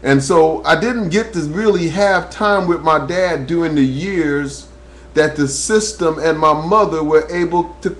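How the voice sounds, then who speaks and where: A man's voice: on the high side, fairly bright tone, a little hiss or hum. A man from New Jersey, a talk radio host, speaking an earnest, preacher-like webcam monologue that rises as he tells his own story. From New Jersey, USA. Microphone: webcam microphone.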